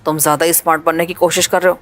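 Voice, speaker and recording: A woman voicing a cold, ruthless male pirate leader who talks in a calm, mocking, menacing way. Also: low-pitched, soft background music, voice pushed down.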